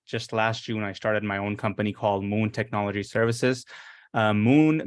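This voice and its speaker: Low, flat-voiced young man from India. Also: clear, resonant tone, no echo, studio quality. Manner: steady, earnest, with frequent uh fillers. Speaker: panelist, business owner. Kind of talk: panel introduction.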